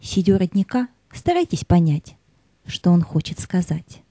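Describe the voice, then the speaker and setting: Casting: actress as tender water spirit, audio play; mellow, breathy voice that glides widely in pitch. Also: female voice, big pitch swings, normal speed, no room sound. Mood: tender farewell. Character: gentle, loving female spirit of water.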